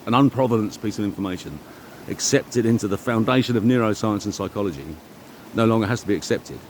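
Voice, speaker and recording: A middle-aged man giving a rambling, informal monologue, speaking emphatically and self-assuredly in halting, repeated phrases. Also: on the low side, a little hiss or hum. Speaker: vlogger.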